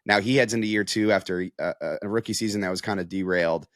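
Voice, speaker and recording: A man's voice: lowish voice, fast, fairly bright tone, no room sound, clean studio sound. A man with an American accent giving casual sports analysis as a reporter, thinking aloud in a conversational way. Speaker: sports reporter, show guest.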